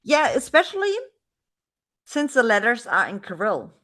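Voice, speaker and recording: A woman's voice: a little high, sing-song, moderate pace, fairly bright tone. German woman hosting a casual chat. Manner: chatty, frequent restarts and fillers. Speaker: podcast host. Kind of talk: casual video-call interview.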